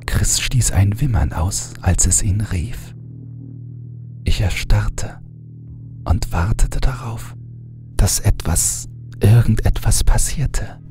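A man narrating a horror audiobook in a hushed, often whispering manner, with music underneath. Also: low-pitched, creaky.

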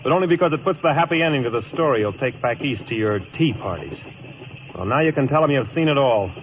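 Actor as proud young lieutenant, tinny radio. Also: male voice, mid-range voice, lively intonation, fast, natural voice. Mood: bitterly sarcastic. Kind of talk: 1940s radio acting. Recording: tinny, noisy old radio broadcast.

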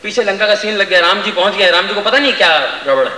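A man's voice: sits high, expressive, quick pace, pushed and bright. A middle-aged man doing stand-up, telling a comic story casually into a stand mic on a large stage at night. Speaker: stand-up comedian. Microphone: stand mic, far camera. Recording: echoey distant live stage recording, noisy.

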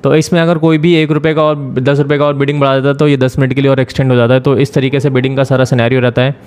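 A man talking through a screen-share tutorial as the channel host, explaining step by step with frequent filler pauses. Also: mid-range voice, little pitch movement, a little mellow.

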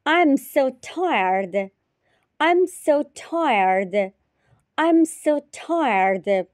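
A woman teacher repeating short phrases slowly and carefully in a repetition drill. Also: sits high, animated, no echo. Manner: repeats each phrase three times, carefully.

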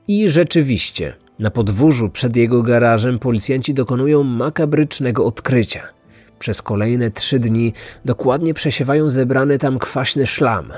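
A man narrates a true crime story as a podcast host. His voice sits at an average male pitch and follows a script. Music plays behind him. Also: a little mellow, no room sound.

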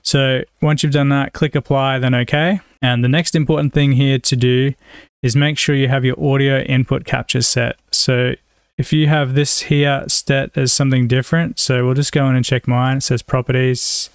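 A man with an Australian accent, giving a casual software tutorial as a voice-over. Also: mid-range voice, little pitch movement, moderate pace, clean tone, no room sound. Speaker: tutorial presenter.

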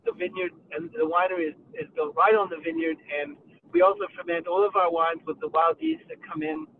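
A man's voice: sits high, lively intonation, brisk, vocal fry, slight background noise. Man with a New Zealand accent on a tinny phone line. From United States, raised partly in New Zealand. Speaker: winemaker and former filmmaker. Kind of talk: casual webinar introduction. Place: driving in a car. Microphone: phone while driving.

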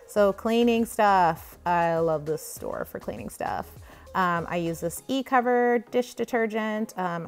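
A woman chatting casually and enthusiastically as she vlogs her shopping, recorded on a handheld phone inside a grocery store. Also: fairly low pitch. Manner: enthusiastic, gushing over products. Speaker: vlogger giving a grocery haul tour. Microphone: handheld phone behind the camera. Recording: store ambience noise, faint music behind.

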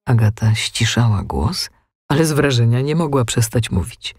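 A man narrating a scripted children's audiobook in an expressive, measured storytelling manner. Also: mid-range voice, slightly rough, dry recording, studio quality.

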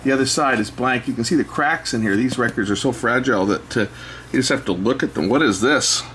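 Male host, casual hands-on show-and-tell, thinks aloud, mid pitch, noisy workbench recording. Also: fairly quick, a bit bright. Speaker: host showing old records. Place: indoors at a cluttered electronics workbench.

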